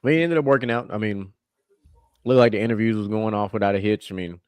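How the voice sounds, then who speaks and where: A man's voice: lowish voice, quick pace, a little mellow, smooth, dry recording. A man hosting a podcast in loose, casual chat, swearing and laughing with his co-hosts. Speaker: podcast host.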